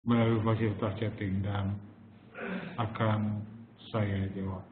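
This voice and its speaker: Slow, halting man on webinar. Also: lowish voice, flat delivery, a little hiss or hum. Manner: slow, halting, many pauses and fillers. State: slight cough. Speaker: webinar host and forex educator. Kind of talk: live online trading webinar. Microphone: computer mic over webinar. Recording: tinny phone-like webinar audio.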